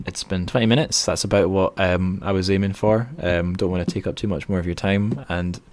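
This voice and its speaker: A man's voice: low-pitched, steady tone, a little fast, darkish tone, no echo. A man hosting a podcast interview in a chatty, informal, rambling conversational way. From northern Britain.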